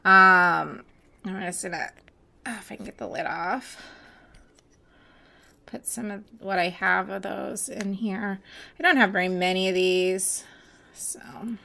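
A woman chatting casually while mixing glitter, her voice going hoarse as she loses it, with many pauses and fillers. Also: mid-range voice, fairly even intonation, sharp tone. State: losing her voice, hiccups. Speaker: nail art seller mixing glitter. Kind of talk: casual crafting voice-over.

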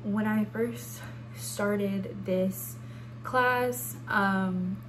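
A woman from New Orleans, a student talking in a calm, unhurried way about her documentary class. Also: mid-range voice, background noise. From New Orleans, Louisiana. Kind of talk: reflective testimonial.

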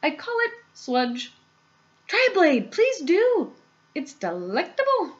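A woman's voice: medium pitch, unhurried, creaky, muffled recording. A woman voicing an eccentric, exuberant male candy factory owner, boastful and theatrical, in a storybook reading. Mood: gleeful, showy. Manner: theatrical, emphatic, delighted exclamations.

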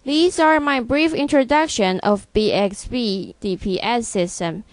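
A woman presents a product from a script in a fairly high voice. She reads in a measured, item-by-item manner. The sound is dry and close, with little room in it. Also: no echo.

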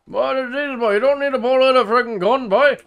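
A man playing a touchy, rough-spoken rural man, blustering and swearing and calling everyone "boy". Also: extremely high pitch, brisk, fairly bright tone, heavily acted. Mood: outraged. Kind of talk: comedy sketch acting.

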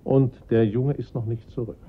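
A man's voice: medium pitch, fairly even intonation, slightly rough, close to an everyday voice. Composed, formal police inspector played by a man. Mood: measured, probing. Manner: formal, measured questioning. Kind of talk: German radio crime drama acting. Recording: muffled lo-fi radio play recording.

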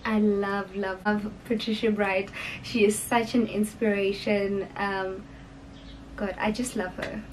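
A young South African woman talks in an unhurried, chatty way, gushing and sprinkling in ums. Her voice sits at a middling pitch, and a faint hiss stays low underneath. She is sitting in her bedroom facing the camera. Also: little pitch movement, low-quality recording. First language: Sepedi. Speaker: YouTuber. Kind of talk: casual get-to-know-me Q&A. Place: bedroom, indoors. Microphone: camera in front, seated facing it.

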